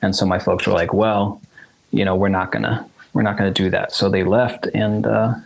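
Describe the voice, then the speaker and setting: A man's voice: on the low side, little pitch movement, moderate pace, vocal fry, rough recording. Man, forties, raised in South Africa, Zulu first language, rambling, laughing, casual interview guest. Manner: rambling, laughs often, many restarts. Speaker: musician guest.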